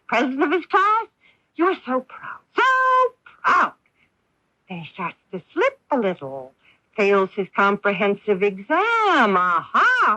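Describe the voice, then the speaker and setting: A hurt, protective wife, theatrical delivery, very lively pitch, dull lo-fi radio recording. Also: female voice, no room sound, exaggerated voice. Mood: accusing. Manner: theatrical, dramatic, emphatic delivery with deliberate pauses. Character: bitter, wounded wife and protective mother, faded society belle. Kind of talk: 1980s radio drama acting.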